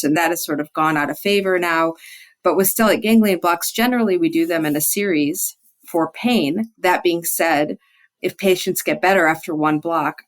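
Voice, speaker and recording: A woman physician explaining things as an interview guest, informal and measured in how they qualify each point. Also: deep voice. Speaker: physician guest, pain specialist. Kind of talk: conversational expert explanation.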